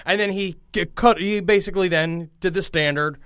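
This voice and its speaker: Excitable, high-pitched man telling stories. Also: normal speed, smooth, no room sound, clean studio sound. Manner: foul-mouthed, excitable, repeats words in bursts. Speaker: comedy podcast host. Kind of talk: casual comedic storytelling.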